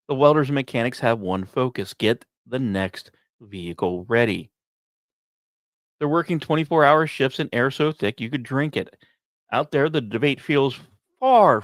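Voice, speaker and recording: A male podcast host delivers scripted narration in a medium-pitched voice. He leans into dramatic pauses and slips in wry, informal asides. The sound is dry, with no room on it. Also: dry recording.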